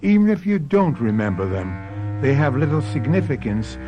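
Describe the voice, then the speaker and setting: A man's voice: medium pitch, very animated, over music, natural voice. Theatrical mystery host man, sweeping intonation. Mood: ominous, intriguing. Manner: theatrical, rhetorical questioning, deliberate. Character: grave, ominous male mystery series host. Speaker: host and narrator. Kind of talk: radio drama narration. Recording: dull old radio recording.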